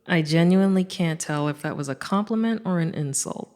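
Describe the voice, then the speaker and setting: An actress performs a reckless, sarcastic woman adventurer in an audio drama. Her voice sits a little low. She moves between first-person storytelling and snarky dialogue, always wry and casual, quick to mock herself. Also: a little mellow, natural voice. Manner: wry, casual, self-deprecating.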